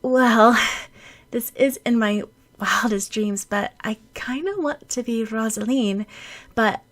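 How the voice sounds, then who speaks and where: Woman acting as a nervous teenage girl, higher pitched, hesitant, self-doubting, pleading, storybook character. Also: slow. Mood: shy, wistful. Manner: stammering, hesitant. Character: anxious, pleading schoolgirl, self-doubting and shy.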